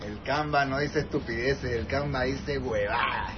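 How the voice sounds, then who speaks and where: A man's voice: medium pitch, a little slow, a crowd in the background. A man from Venezuela with a Venezuelan accent, talking casually and gratefully in a video message, full of slang. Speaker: TikToker sending a video message. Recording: slightly dull sound with loud background music.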